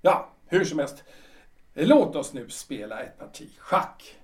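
Man acting proud, brooding retired official, high animated voice. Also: recorded from a distance. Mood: defiant, weary. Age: older. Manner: dramatic, emphatic, repeats phrases. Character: proud, brooding 62-year-old retired official clinging to memories. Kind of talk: theatrical radio drama dialogue.

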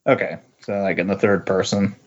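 A man with a fairly low voice chats casually as a podcast host. He talks haltingly, often doubling up his words as he reacts. The sound is somewhat dull and lo-fi. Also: fairly even intonation, slightly rough. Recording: dull, lo-fi voice recording.

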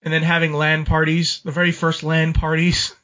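A man's voice: fairly high pitch, monotone, normal speed, fairly bright tone. A man co-hosting a gaming podcast, reading a history segment and chatting with frequent restarts and repeated words. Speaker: podcast co-host. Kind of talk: casual podcast chat and read-out history segment. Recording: lo-fi, dull sound.

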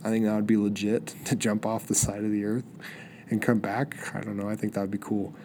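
A man's voice: on the low side, little pitch movement, a little fast, a little mellow, slight background noise. A young man hosting a solo podcast, sniffly with a cold, rambling eagerly with many restarts. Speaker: podcast host. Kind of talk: solo casual podcast monologue.

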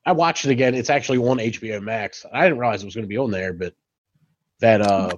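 A man's voice: on the low side, quick pace, no echo, dull audio. A man co-hosting a podcast in casual chat, rambling, swearing freely and laughing often, on a home mic over a video call. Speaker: podcast co-host.

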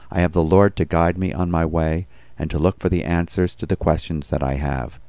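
A man's voice: extremely low pitch, a little mellow, dry recording. A man reading scripted devotional commentary and scripture as a pastor.

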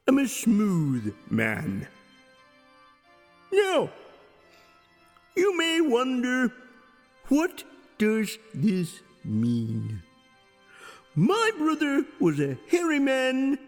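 A man's voice: very high-pitched, full character voice. A man playing a pompous preacher in a comic mock sermon, drawing words out in a solemn sing-song. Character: high-voiced sing-song male preacher delivering a solemn nonsense sermon. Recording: long hall reverb, music behind.

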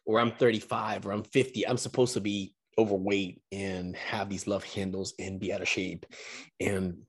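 A man in his forties with a fairly low voice, chatting as host of a casual interview. He talks in an encouraging way and often doubles back on his words mid-sentence. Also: flat delivery, normal speed, husky. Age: 40s. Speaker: podcast host.

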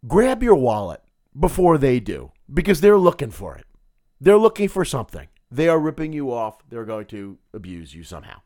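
A man hosting a political podcast, talking solo in a sarcastic, combative way with a gravelly voice. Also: fairly high pitch, a little mellow. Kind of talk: opinionated solo commentary.

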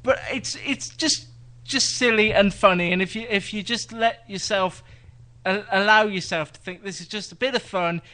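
A man's voice: sits high, moderate pace, pushed and bright. A man co-hosting a live TV podcast, reviewing shows in casual chat with dry teasing asides.

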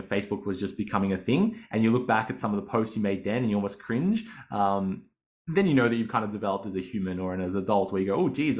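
An upbeat man in his thirties talks casually as a podcast host. His voice sits a little low, and he chats easily, laughs a lot, and often makes fun of himself. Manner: chatty, upbeat, laughs often, self-mocking. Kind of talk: casual coaching monologue.

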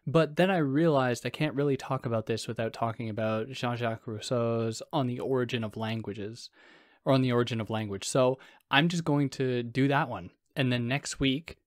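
A man with a soothing voice talks through a philosophy essay on his own podcast, loose and rambling, tossing in self-deprecating asides. His pitch sits in the middle for a man. The sound is dry and close, with no room around it. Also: normal speed, dry recording. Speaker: podcast host. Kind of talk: casual solo philosophy lecture.

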